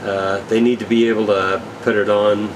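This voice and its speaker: A man giving a casual, unscripted product update as an equipment dealer, in a rambling, halting, matter-of-fact way. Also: on the low side, level tone, noisy background.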